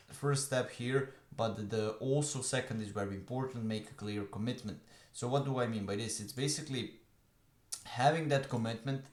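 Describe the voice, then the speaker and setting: A young man from Croatia with a Croatian accent, giving an earnest motivational talk to camera with many fillers. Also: lowish voice. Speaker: online business coach. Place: room at home, evening. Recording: echoey room.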